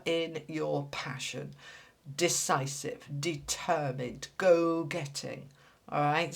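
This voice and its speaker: A woman with a somewhat low voice gives a tarot reading. She is congested from a cold, so her voice comes out a little nasal. She talks in a reassuring, encouraging way and keeps checking in with her listeners. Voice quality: slightly nasal. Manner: reassuring, tags lines with "all right?". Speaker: tarot reader.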